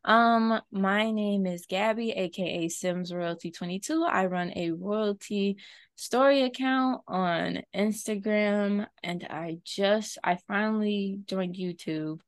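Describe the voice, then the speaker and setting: A young woman guest in a casual chat, talking quickly with laughs and asides. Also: mid-range voice, clean tone, no echo, studio quality.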